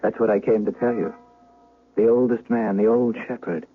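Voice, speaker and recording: An actor playing a grave, conscience-stricken older servant of a Duke, speaking formally and solemnly in a radio drama. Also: male voice, on the low side, normal speed, natural voice. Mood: solemn, troubled. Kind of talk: 1970s radio drama acting and dramatic first-person narration. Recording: tinny, noisy old radio broadcast.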